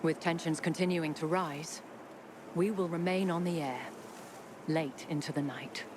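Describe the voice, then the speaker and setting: A woman playing a grave, principled radio news anchor in a game, with a solemn, measured, emphatic delivery. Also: low voice, fairly even intonation, heavy background noise. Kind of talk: scripted radio news bulletin. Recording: loud music bed under voice.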